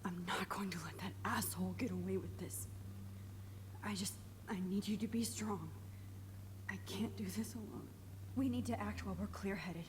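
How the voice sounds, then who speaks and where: An actress playing a determined young woman held captive in a video game, speaking in a hushed, urgent way. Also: medium pitch, fairly even intonation, moderate pace, noisy background, close to an everyday voice. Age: young adult.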